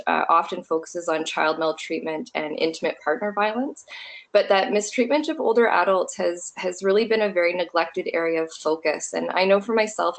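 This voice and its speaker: A soft-spoken woman giving an earnest, thoughtful webinar presentation as a human rights educator over a video call. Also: lowish voice. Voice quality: quiet voice.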